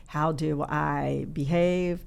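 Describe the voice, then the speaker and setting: Woman, middle-aged, deep voice, gravelly texture, thoughtful interview guest, studio. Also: fairly even intonation, slow pace, a little mellow. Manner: reflective, searching for words. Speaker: podcast guest. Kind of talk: casual interview chat. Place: podcast studio.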